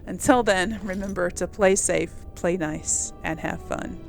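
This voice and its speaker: A woman's voice: on the low side, measured pace, gravelly, faint music behind. A woman hosting a casual let's play, talking in an informal, hesitant, chatty way. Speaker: gaming video host. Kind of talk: casual let's play commentary with role-played replies. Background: video game ambience.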